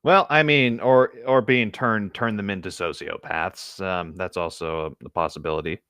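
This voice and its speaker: A man's voice: deep voice, moderate pace, fairly bright tone, clean tone, no echo, clean studio sound. A man co-hosting casually, wry and playful, moving between banter and informal story reading. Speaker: co-host reading fan fiction aloud.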